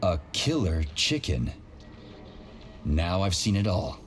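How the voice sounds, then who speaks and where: A man's voice: low voice, sing-song, slow, over music, full character voice. An actor playing a jaded man of action in a video game, blunt and sardonic. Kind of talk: video game character dialogue.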